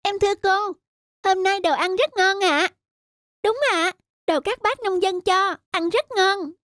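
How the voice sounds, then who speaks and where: A woman's voice: very high voice, unhurried, fairly bright tone, no room sound, clean studio sound. A woman voicing a little schoolchild in a children's cartoon, with an eager, polite, childlike way of talking. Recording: music behind the voice.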